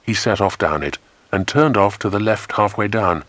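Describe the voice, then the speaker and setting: A man narrating a scripted children's adventure story in an expressive, dramatic way. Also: on the low side, moderate pace, no echo.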